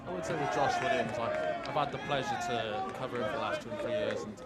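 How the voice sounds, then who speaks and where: A young man giving relaxed, explanatory colour commentary on a student football match. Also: mid-range voice, fast talker. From Wales. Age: young adult. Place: outdoor football ground. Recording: live outdoor broadcast with heavy crowd. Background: very loud crowd noise.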